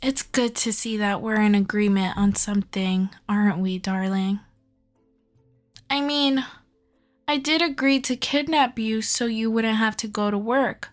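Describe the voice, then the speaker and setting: Casting: actress as lovesick female kidnapper for ASMR roleplay, high-pitched, unhurried, doting and softly controlling, music underneath. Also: natural voice. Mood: doting, reassuring. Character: obsessive, sweetly possessive young woman kidnapper in love.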